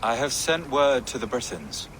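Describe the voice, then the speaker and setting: A pious medieval bishop in a video game. The voice is mid-pitched and crisp-edged, measured and solemn in its formal delivery, with faint outdoor ambience behind it. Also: male voice, very animated, a bit bright, a little hiss or hum, low-quality recording. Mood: gentle, sorrowful.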